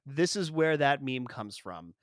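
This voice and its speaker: A man co-hosting a podcast, chatting casually about a film, animated and swearing, often acting out lines from the movie. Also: mid-range voice, measured pace, slight background noise. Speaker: podcast co-host. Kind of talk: casual film review chat.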